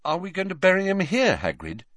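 A man's voice: high-pitched, wildly swooping pitch, a little fast, a bit bright, no echo, voice pushed up, full character voice. Harry: a man voicing an earnest teenage boy.

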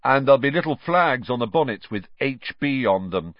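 A man's voice: mid-range voice, expressive, moderate pace, no room sound, lo-fi sound, voice pushed up, close to an everyday voice. A man voicing a teasing, mock-posh teenage boy prankster in an audiobook. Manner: exaggerated mock-grand, teasing. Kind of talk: audiobook character voice.

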